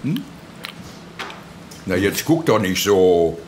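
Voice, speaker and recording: An actor playing a folksy, gossipy older uncle with a chummy, colloquial manner in a crime radio drama. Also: male voice, low voice, sing-song, takes it slowly, noisy background, exaggerated voice.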